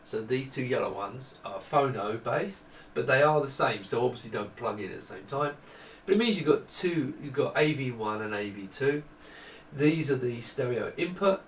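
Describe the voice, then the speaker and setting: A middle-aged man talks through hardware in an informal, unscripted way, at an average pitch. He often stops and rephrases as he explains. The sound is roomy and picked up from a distance, with a little background noise. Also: expressive, normal speed. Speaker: presenter demonstrating hardware prototypes. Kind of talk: informal unscripted product walkthrough. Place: small indoor workroom with desk. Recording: echoey room, distant mic, faint noise.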